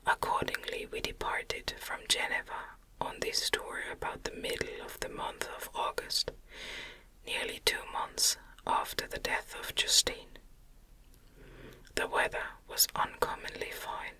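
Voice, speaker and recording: A woman reads classic gothic fiction in a soft ASMR whisper, with no full voice at all. Her delivery is slow, deliberate and soothing. The breath is very bright and sharp-edged, every phrase set down gently. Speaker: audiobook reader.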